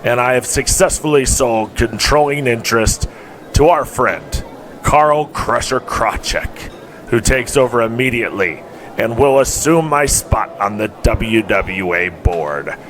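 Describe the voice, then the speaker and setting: An actor playing an older, proud wrestling promoter who makes measured, formal speeches in an audio drama. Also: male voice, medium pitch, expressive, fairly bright tone, faint music behind. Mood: proud, assured.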